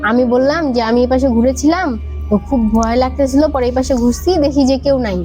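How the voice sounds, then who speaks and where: A woman's voice: high voice, a little fast, warm tone. A young woman with a Bangladeshi accent recounting a personal ghost experience in a conversational way as a podcast guest. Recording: music playing behind the voice. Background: background music.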